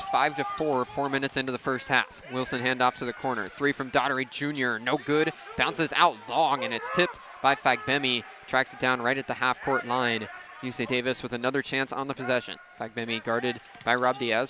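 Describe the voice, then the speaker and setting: Young male play-by-play announcer over gym noise. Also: medium pitch. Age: young adult. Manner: clipped, rapid-fire phrases that build into excited calls. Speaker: radio play-by-play sports announcer. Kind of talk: live radio play-by-play commentary. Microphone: headset. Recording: noisy gym with court sounds behind. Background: gym ambience, bouncing ball.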